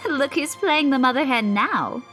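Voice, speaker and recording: A playful young female friend in a visual novel, teasing and affectionate. She speaks high and unhurried, with lively swings in pitch. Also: faint music behind.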